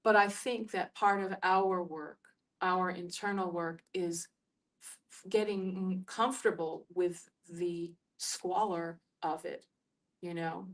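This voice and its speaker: A woman's voice: fairly low pitch, steady tone. Woman thinking aloud in group discussion. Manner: halting, searching for words.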